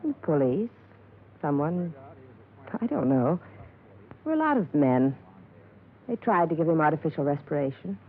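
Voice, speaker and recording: An actress playing a cool, evasive, wealthy widow in a 1950s radio drama, with an aloof, curt and impatient way of talking. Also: female voice, deep voice, very animated, moderate pace, pauses often, breathy edge, phone-quality sound, exaggerated voice. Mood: cold, impatient. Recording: thin, band-limited old radio recording.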